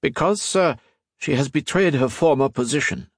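A man's voice: medium pitch, lively intonation, normal speed, husky, no room sound, clean studio sound, voice pushed up. A man reading as a young male spy in disguise, formal and deferential.

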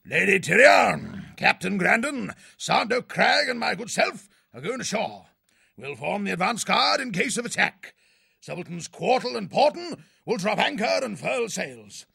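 A man voicing a bossy military hare sea-captain in a storybook, with a raspy British bark and pompous bluster. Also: high voice, big pitch swings, measured pace, no room sound, clean studio sound, pitched above the performer's own voice, full character voice. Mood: brisk command. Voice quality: raspy, gravelly shout.

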